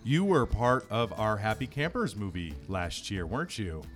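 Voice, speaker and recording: A man hosting a podcast from a podcast room at a camp, joking with his guest and reading a quick disclaimer. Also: lowish voice, big pitch swings, faint music behind. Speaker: podcast host.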